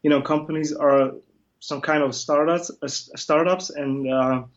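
A man's voice: a little high, steady tone, moderate pace. Man who grew up in Germany, lo-fi. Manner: self-deprecating, hesitant, many fillers. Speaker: interview guest, comic illustrator. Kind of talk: casual interview chat.

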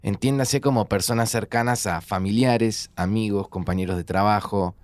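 A man's voice: lowish voice, moderate pace, no room sound, close to an everyday voice. An actor playing a worried, skeptical young man from Buenos Aires in an audio drama, with an informal, sardonic way of talking. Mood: wry, reading aloud. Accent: Argentine, Buenos Aires. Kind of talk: naturalistic audio fiction acting.

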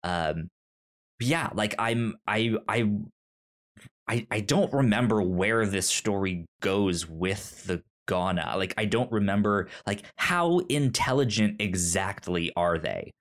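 Halting man podcaster with a lively pitch. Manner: halting, stammering, repeats words while searching. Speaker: podcast co-host. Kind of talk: casual book discussion chat.

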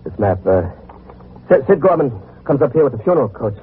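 An actor playing an ailing, proud gang boss who stammers and repeats himself in an old-time radio crime drama. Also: male voice, medium pitch, big pitch swings, a little fast, lightly acted. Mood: scheming. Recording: noisy, tinny old radio recording.

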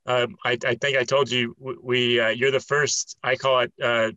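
A man's voice: mid-range voice, level tone, moderate pace, bright, forceful tone, no echo, lo-fi sound. A man hosting an interview, who also does some commentating, talking in long, rambling questions full of fillers. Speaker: podcast host and occasional commentator.

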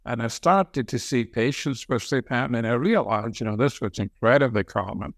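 A man's voice: mid-range voice, creaky, no echo, studio quality. An older man from Scotland with a Scottish accent, reminiscing conversationally as an interview guest, often repeating words as he goes. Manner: halting, repeats words as he talks. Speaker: interview guest, senior medical professor and researcher.

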